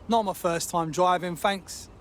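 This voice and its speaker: A man's voice: sits high, steady tone, unhurried, slight background noise. A British man playing a brash, irritable hacker operative in a video game, cocky and sweary. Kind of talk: video game action dialogue.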